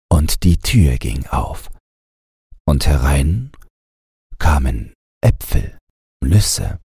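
A man reading a scripted bedtime audiobook in a calm storytelling style. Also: a bass voice, slightly rough, no room sound, studio quality. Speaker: audiobook narrator.

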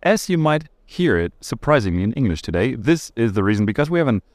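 A man co-hosting a podcast, asking questions in a friendly, animated way. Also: mid-range voice, fast talker, no room sound, studio quality. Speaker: podcast co-host. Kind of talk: conversational interview.

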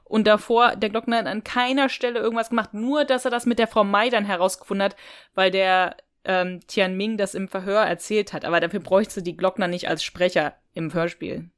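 A woman's voice: medium pitch, fairly even intonation, brisk, no echo, clean studio sound. A woman co-hosting a podcast, talking casually and colloquially as she thinks aloud about an audio drama. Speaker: podcast co-host.

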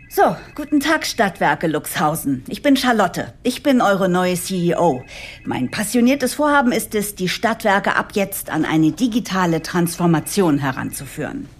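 An eager, idealistic new CEO in a radio play. Her voice is lowish and husky with some creak, and it moves in lively swings as she earnestly delivers her corporate vision. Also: full character voice. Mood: upbeat, businesslike. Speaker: lead character and narrator. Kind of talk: German audio drama narration and dialogue.

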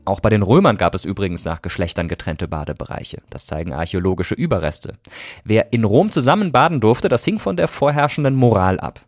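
A man's voice: lowish voice, very animated, fairly quick, no room sound, clean studio sound, faint music behind. A man hosting a podcast, reading scripted narration in a conversational way that addresses listeners directly. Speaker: podcast host.

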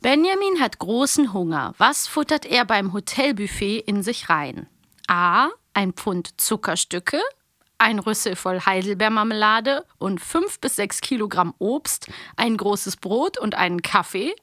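A woman's voice: medium pitch, animated, measured pace, a bit bright, dry recording, studio quality. A woman co-hosting a casual podcast chat, chatty and laughing often as she asks quiz questions. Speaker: podcast co-host.

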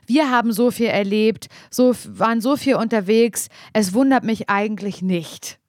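A woman's voice: a little high, moderate pace, darkish tone, no room sound, clean studio sound. A woman co-hosting a podcast in casual chat, teasing but caring and blunt. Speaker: podcast co-host.

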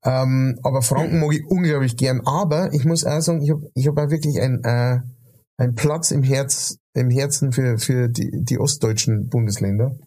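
A man's voice: mid-range voice, normal speed, dark tone. A Bavarian man co-hosting a podcast in casual chat, rambling with lots of fillers and restarts. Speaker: podcast co-host.

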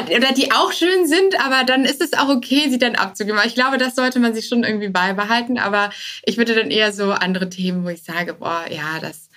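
Conversational female podcast guest, medium pitch. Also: fairly bright tone. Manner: informal, thinking aloud, mixes in English business terms. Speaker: podcast guest, start-up founder. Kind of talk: casual conversational interview.

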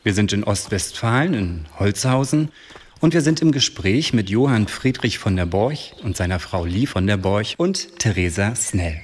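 A man's voice: lowish voice, animated, moderate pace, a little hiss or hum. A male podcast host reading a scripted episode introduction. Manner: announcing.

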